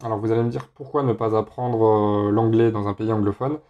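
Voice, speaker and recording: A young man from France hosting a casual solo podcast, talking in a relaxed way with lots of hesitations. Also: on the low side, brisk, lo-fi sound. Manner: relaxed, many filler hesitations. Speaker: podcast host.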